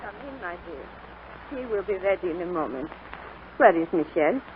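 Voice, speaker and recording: A woman playing a kindly, devout French grandmother of seventy-seven in a 1940s radio drama, speaking gently and reflectively. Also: fairly low pitch, sing-song, normal speed. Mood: wry, fond. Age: older. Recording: noisy, tinny 1940s radio broadcast.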